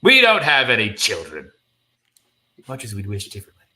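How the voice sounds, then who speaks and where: A man's voice: on the low side, animated, normal speed, crowd noise, natural voice. A man voicing a level-headed, doubtful husband from a comic in a matter-of-fact way. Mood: regretful.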